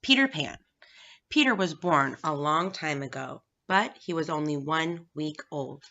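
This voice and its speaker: A woman's voice: low voice, lively intonation, unhurried, bright, forceful tone. A woman reading a children's story aloud as scripted narration. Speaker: staff reader at a school. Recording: dull, lo-fi recording.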